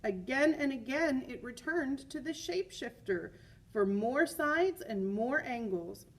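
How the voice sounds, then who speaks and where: Give a woman's voice, slightly high and somewhat husky, reading a picture book to students. She is expressive and leans on key words, dropping in chatty teacher's asides between passages. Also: a little slow. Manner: expressive, with emphatic repeated words.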